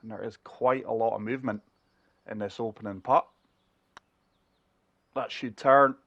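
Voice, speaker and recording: A man talks viewers through each shot of a golf game in a casual, chatty way. He sounds short of breath from a chest infection, his middle-pitched voice close and dry on the mic. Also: fairly even intonation, measured pace, vocal fry, fairly bright tone, no room sound, dull audio. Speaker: gaming channel host.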